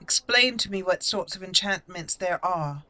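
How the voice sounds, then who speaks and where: A woman roleplaying a proud, blunt elf mage noble in a fantasy game, speaking formally and deliberately. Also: fairly low pitch, lively intonation, bright, forceful tone, no room sound. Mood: curious, formal. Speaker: host of a gaming channel. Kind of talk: improvised in-character game roleplay narration.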